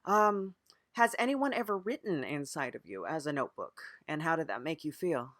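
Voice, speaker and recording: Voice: mid-range voice, animated, normal speed, a bit bright, dry recording, clean studio sound. A podcast interviewer in an improvised mock interview, asking questions in an earnest, hesitant way. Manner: hesitant, earnest questioning with frequent murmured acknowledgements.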